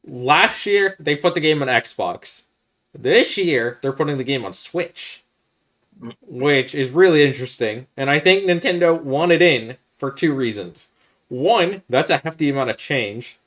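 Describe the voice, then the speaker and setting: A man's voice: fairly high pitch, expressive, measured pace, fairly bright tone. A man hosting a casual gaming podcast, explaining his points step by step. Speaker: podcast host.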